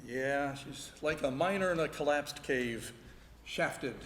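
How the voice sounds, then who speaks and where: An actor playing a wisecracking, pedantic cast member with dry interjections in a live stage radio comedy. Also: male voice, a little high, takes it slowly. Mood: wry, puzzled. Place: large ballroom, live before an audience. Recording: echoey noisy hall, live stage.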